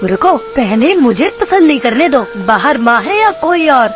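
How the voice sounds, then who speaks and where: A woman's voice: sits high, sing-song, a little slow, music in the background. A woman playing a cautious, clever little baby bird in a children's cartoon, childlike and emphatic. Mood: suspicious. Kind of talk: Hindi children's cartoon story acting.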